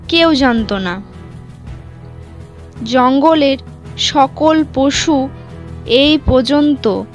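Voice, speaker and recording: A woman narrating a scripted animal moral story for children. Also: sits high.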